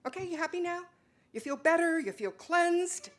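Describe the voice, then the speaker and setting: A woman's voice: very high voice, expressive, moderate pace, pitched above the performer's own voice. A woman playing an exasperated, defensive wife in a dramatic stage reading, speaking emphatically. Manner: emphatic, insistent, swinging from soft to loud.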